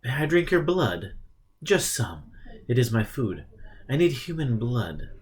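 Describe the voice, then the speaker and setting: A man's voice: medium pitch, sing-song, a little mellow, husky. Man acting a pleading, shrinking Transylvanian vampire. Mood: desperate pleading. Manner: halting, apologetic broken English.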